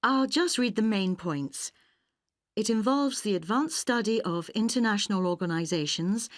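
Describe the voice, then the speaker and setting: A woman acting a polite, efficient university admissions officer in a scripted listening-test dialogue, speaking clearly. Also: medium pitch, dry recording, studio quality, close to an everyday voice. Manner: polite, clearly enunciated, businesslike.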